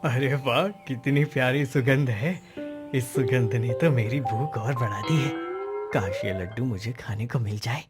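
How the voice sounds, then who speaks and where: A man's voice: mid-range voice, unhurried, over loud music, natural voice. A man voicing a hungry, kindly beggar who gives wise advice in a cartoon story. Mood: longing. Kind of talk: Hindi cartoon story acting.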